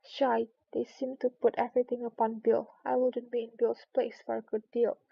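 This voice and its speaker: Woman as a plucky storybook girl, curious, thinking aloud, higher pitch, lo-fi recording. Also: little pitch movement, normal speed, creaky, slightly rough, no room sound, full character voice. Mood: musing, plucky. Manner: musing, plucky. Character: curious, defiant little girl Alice, musing to herself. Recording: dull lo-fi recording.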